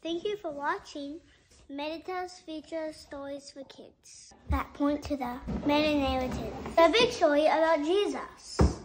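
A woman speaking simply and deliberately in a scripted style for a children's show. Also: sits high, noisy background. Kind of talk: scripted children's show announcement and verse recital.

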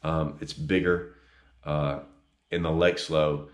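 A man's voice: a bass voice, flat delivery, slow. A man, giving earnest, casual fishing advice straight to a camera, with plenty of ums and restarts. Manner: earnest, repeats himself, many ums and restarts, gestures with hands. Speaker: fishing guide giving a tournament report. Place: small room indoors. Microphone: camera on a tripod a few feet away. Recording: echoey room.

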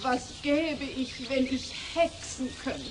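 A woman's voice: high-pitched, steady tone, slow, slight background noise. A woman playing a simple country woman who gathers firewood, in a children's fairy-tale radio play. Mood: plaintive, wistful.

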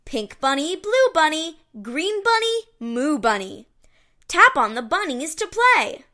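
A woman reads a children's read-along book in a high, very lively voice. She takes her time over short, simple phrases. Her delivery is encouraging and exclamatory, made for young listeners. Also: slow. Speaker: storybook narrator.

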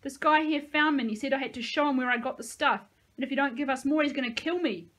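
A terrified man held at gunpoint calls out in a high, desperate voice, begging and pleading, as a woman narrator puts him on. His words come loud and strained with fear. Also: fast.

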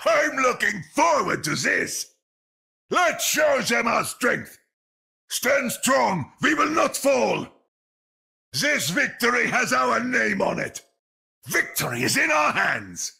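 Big old german knight from a video game, super raspy n high strained booming voice, hearty heroic battle cries, german accent. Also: male voice, exaggerated voice. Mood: confident, eager, then rallying, resolute. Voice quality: gravelly, booming. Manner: loud, heroic, declamatory.

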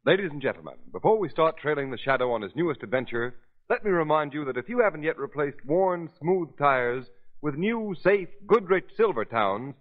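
A man's voice: on the high side, big pitch swings, brisk. A man announcing in 1930s radio style, emphatic and theatrical. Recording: muffled 1930s radio recording.

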